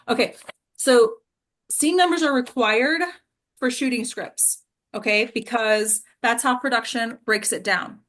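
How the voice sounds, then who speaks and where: A woman's voice: on the high side, slow, fairly bright tone. A woman teaching and hosting an acting class, talking casually to a small group and often checking in with questions. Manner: conversational, peppered with check-in questions like "right?".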